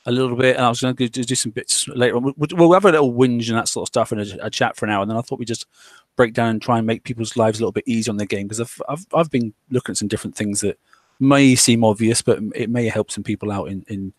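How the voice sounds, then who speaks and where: A man's voice: medium pitch, quick pace, no room sound. A man hosting a casual discussion, rambling along with lots of fillers and restarts. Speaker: podcast host.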